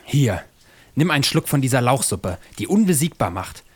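A man playing a loyal, teasing friend in a comedic adventure radio play, with a husky voice. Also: medium pitch, very animated, normal speed.

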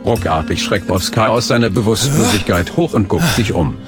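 Casting: male narrator for a comic audio tale, lowish pitch, highly varied intonation, suspenseful, music behind. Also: animated, moderate pace. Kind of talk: scripted storybook-style comic narration.

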